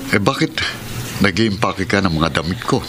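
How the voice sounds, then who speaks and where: An actor in a radio drama playing a grown man caught between two women, speaking calmly and with measure. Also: low-pitched, normal speed, noisy background. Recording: radio drama with loud music.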